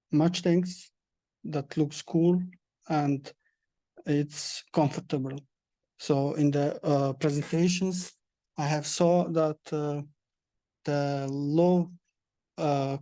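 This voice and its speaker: A young man from Bosnia, speaking as a panel guest with many pauses and restarts. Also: on the high side, warm tone, dry recording. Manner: halting, many hesitations and restarts. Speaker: panel guest, prosthesis user. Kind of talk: panel discussion answers.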